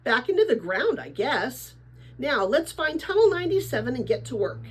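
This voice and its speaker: A woman reading a storybook as a brisk, bossy young female pipeworks guide who gives matter-of-fact instructions. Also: high voice, sing-song, slightly rough, exaggerated voice.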